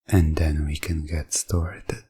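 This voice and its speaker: A man playing a calm, businesslike professional dominant in an ASMR hypnosis roleplay, speaking in a soft, breathy voice with measured, formal, detached delivery. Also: rumbling low, exaggerated voice. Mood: formal, composed. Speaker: audio roleplay performer.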